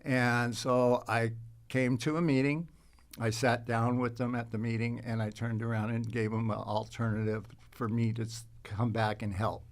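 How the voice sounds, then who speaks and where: A man chatting casually as a podcast guest, telling anecdotes in a halting, rambling way. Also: mid-range voice, level tone. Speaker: podcast guest, actor and car show organizer.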